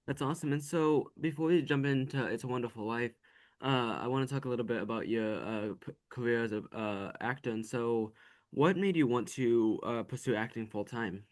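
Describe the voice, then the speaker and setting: A man hosting a podcast, asking prepared questions in a casual, polite and slightly halting way. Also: mid-range voice. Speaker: podcast host, interviewer.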